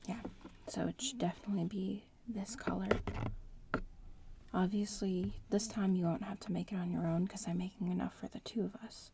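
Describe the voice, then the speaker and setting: Bratty witch student voiced by a woman, medium-pitched, breathy ASMR whisper, unhurried teasing explanations. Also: background noise. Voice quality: breathy whisper, mostly unvoiced. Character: bratty young witch in training, sassy classmate.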